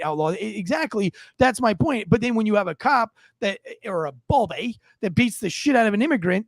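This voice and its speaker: A man's voice: sits high, creaky, no room sound. A man on a podcast, ranting casually with lots of swearing and restarts. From United States. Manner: rambling, swearing, many restarts. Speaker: podcast co-host. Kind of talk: casual profane rant.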